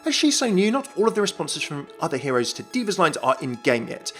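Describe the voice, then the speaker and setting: A man's voice: fairly high pitch, big pitch swings, a little fast, fairly bright tone, faint music behind. A man narrating a scripted video game explainer as a YouTube host, enthusiastic and explanatory.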